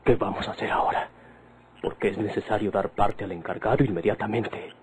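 An actor playing a serious, worried rural companion with a Mexican accent, in old radio drama style. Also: male voice, lowish voice, fairly even intonation, a little fast, background noise, close to an everyday voice. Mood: grave worry.

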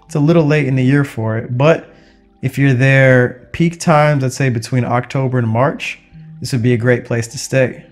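Young guy doing travel vlog voiceover, chatty and upbeat, mid pitch, music underneath. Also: normal speed. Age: young adult. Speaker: travel vlogger. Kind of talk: casual travel narration.

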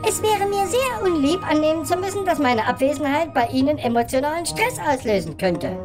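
A woman's voice: sits high, sing-song, brisk, music in the background. A woman voicing an earnest, over-polite billy goat in a fairy-tale parody radio play, with a bleating, wordy delivery. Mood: polite, evasive.

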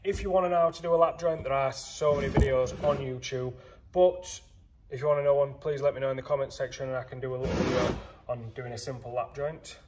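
A man's voice: medium pitch, fast talker. A man in his thirties, hosting a casual woodworking tutorial and chatting to viewers in a workshop, filmed on a handheld camera. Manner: chatty, relaxed, addresses viewers as guys. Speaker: woodworking channel host. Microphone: handheld phone camera, moving. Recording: echoey workshop with faint noise.

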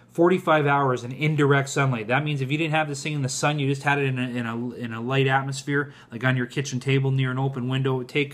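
Casual man reviewing a product. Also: mid-range voice. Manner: informal, addresses viewers as guys. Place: indoors in a home kitchen.

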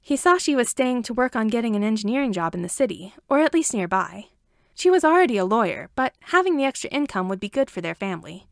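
A woman narrates fiction in an amateur audiobook style. Her voice sits a little high and moves with very animated intonation. The recording is dry and close, with no room sound. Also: fast, vocal fry, dry recording. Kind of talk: scripted story narration.

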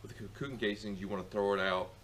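A man's voice: fairly low pitch, fairly even intonation, quick pace, fairly bright tone, a little hiss or hum. A middle-aged man giving a casual outdoor how-to as a beekeeper, rambling with many fillers and restarts, recorded on a camera mic in a farmyard.

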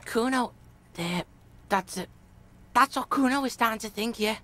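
Game role: brash young street boy, played by a woman, higher-pitched, cocky, sneering, foul-mouthed tough talk. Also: steady tone, fast talker, pushed and bright. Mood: hesitant. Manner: brash, sneering, speaks of self in third person. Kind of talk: video game character acting.

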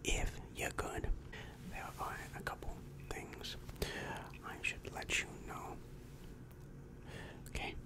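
A young man whispering an ASMR roleplay as a helpful, polite demon counselor, soft, hesitant and reassuring, close on the microphone. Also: takes it slowly, long pauses. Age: young adult. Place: small dim room indoors. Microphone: large microphone right in front of the mouth.

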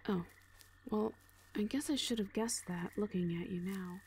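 Woman acting a calm, measured orc mercenary leader. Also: medium pitch, creaky, a little hiss or hum, close to an everyday voice. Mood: calm reassurance. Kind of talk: audio roleplay drama acting.

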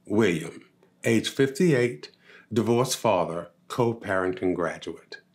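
An older man reads a personal monologue in a lowish voice. His pitch moves widely, and he stresses his points expressively. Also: lively intonation, slow pace, a little mellow. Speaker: reader of a father's story. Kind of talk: read first-person testimonial monologue. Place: indoors against a dark backdrop.

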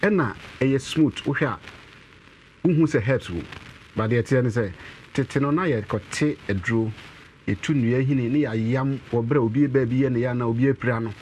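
Animated Ghanaian man pitching herbs. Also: fairly quick, a little hiss or hum, poor audio. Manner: animated, explaining and gesturing with products. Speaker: herbal medicine representative. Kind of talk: promotional studio interview. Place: TV and radio studio.

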